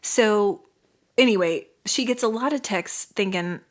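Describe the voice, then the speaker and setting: A woman of middle pitch co-hosts a relaxed podcast with her sibling. She reads messages aloud in a light, giggly way and keeps the chat moving. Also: unhurried. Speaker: podcast co-host. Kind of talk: casual sibling banter.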